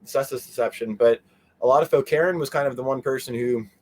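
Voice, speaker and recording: A man giving a casual interview as a guest, animated and meandering, often trailing off mid-sentence. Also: medium pitch, fast. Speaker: interview guest, fan convention organizer. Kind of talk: casual rambling interview chat.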